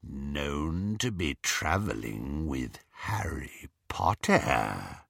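A man voicing a menacing male werewolf who speaks slowly, quietly and with a rasp, often near a whisper. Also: extremely low pitch, big pitch swings, dry recording, studio quality, pitched below the performer's own voice, heavily acted. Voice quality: rasping, growling. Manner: slow, quiet and breathy.

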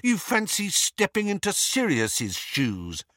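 A man's voice: medium pitch, big pitch swings, unhurried, bright, forceful tone, dry recording, studio quality, heavily acted. A man voicing a hot-headed, accusing teenage boy in an audiobook story. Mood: furious, accusing.

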